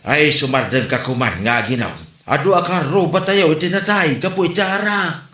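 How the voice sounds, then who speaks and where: A man's voice: fairly high pitch, normal speed, pushed and bright. A man acting a scolding, exasperated old village father in a comedy drama. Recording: echoey room.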